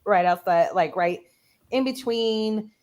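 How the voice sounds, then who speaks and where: A woman from central Illinois chatting casually on a reading stream, halting with many restarts and ums. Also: mid-range voice, a little mellow. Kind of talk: casual group chat.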